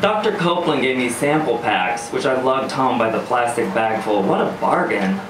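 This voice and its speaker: A man's voice: mid-range voice, big pitch swings, moderate pace, noisy background. A man performing scripted first-person spoken-word storytelling live, with a wry, sardonic, theatrical delivery. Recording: roomy live venue, distant mic, music. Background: electronic music beats.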